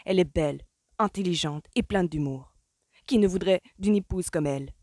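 A hot-tempered young gentleman barks his lines in forceful outbursts, as a woman reading the book puts him on. The sound is dry and close. It is a storybook voice with raised, sharp delivery. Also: lowish voice, normal speed, dry recording, close to an everyday voice. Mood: indignant.

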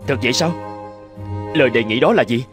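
A man's voice: medium pitch, expressive, fairly quick, close to an everyday voice. A man voicing a poor, weary, humble noodle-seller husband in a cartoon fairy tale, with a plaintive manner. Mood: puzzled. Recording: clear background music.

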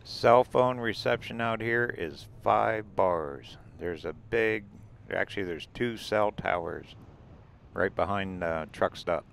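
A man's voice: lowish voice, takes it slowly, vocal fry, a little hiss or hum. A man vlogging about RV travel, narrating casually as he drives desert roads and points out the sights. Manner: relaxed, rambling, points out sights as he goes. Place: inside a vehicle driving desert roads.

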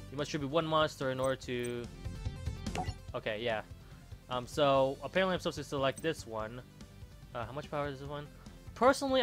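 A man's voice: mid-range voice, sharp tone. A man casually commentating a card game as he plays, thinking aloud, often stopping and correcting himself. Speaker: gaming channel host. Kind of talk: let's play commentary.

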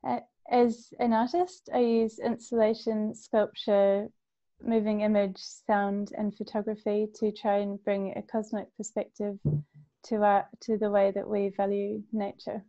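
Woman from new zealand, flat delivery, mellow tone, dull lo-fi call sound. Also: mid-range voice, measured pace. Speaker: artist and curator. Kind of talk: video call introduction.

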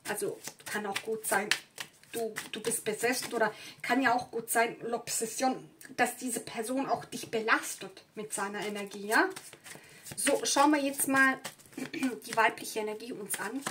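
Lady doing a tarot reading at her table, rambly, lots of ums, not her first language, kinda high voice. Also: fairly even intonation, measured pace, fairly bright tone, a little hiss or hum. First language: not German. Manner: chatty, rambling, many fillers and asides. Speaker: tarot reader. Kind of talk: casual tarot reading to camera. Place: indoors at a table with candles and flowers.

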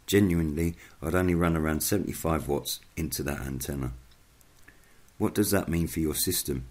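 A man reading a scripted explainer narration in a measured, instructional way. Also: a bass voice, a little mellow, slightly rough.

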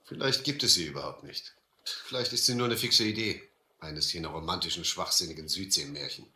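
A very deep, doubting helmsman. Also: brisk, bright, forceful tone, roomy sound, exaggerated voice. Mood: doubting, worried. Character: skeptical, worried ship's helmsman, gruff adviser. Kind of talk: German audio adventure drama.

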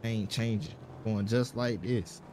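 A man's voice: on the low side, fairly even intonation, slow pace, noisy background. A man playing an online role-play game, talking in casual, slangy chat. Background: car and street ambience from the game.

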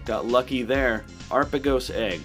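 A man's voice: mid-range voice, measured pace, fairly bright tone. A man giving casual, matter-of-fact commentary as he plays through a video game. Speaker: gaming video host. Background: game music.

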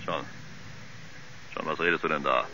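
A man's voice: a bass voice, fairly even intonation, moderate pace. An actor playing an alarmed man pleading with his friend on the telephone in a radio play. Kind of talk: 1970s German radio play acting. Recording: dull recording under loud eerie sounds.

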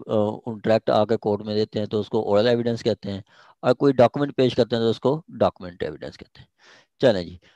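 A man's voice: mid-range voice, mellow, dry recording. Pakistani man lecturing, dry close sound. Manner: repeats key phrases, switches between Urdu and English. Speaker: law lecturer. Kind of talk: informal lecture explaining a legal text.

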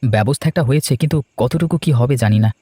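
A man's voice: medium pitch, very fast, mellow, slightly rough, dry recording, lightly acted. A man playing an earnest, reassuring village husband in a cartoon, talking casually. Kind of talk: cartoon voice acting.

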